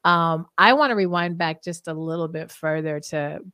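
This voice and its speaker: A woman's voice: low-pitched, normal speed, bright, forceful tone, dry recording, studio quality. Chatty middle-aged woman host. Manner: chatty, many fillers and affirming murmurs. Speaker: podcast host. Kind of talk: casual interview conversation.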